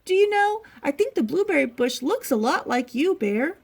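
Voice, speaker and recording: A curious, friendly lady porcupine from a children's book, acted out by a woman. Her voice is high and varies widely in pitch. She sounds emphatic and admiring. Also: sing-song, moderate pace, a little mellow.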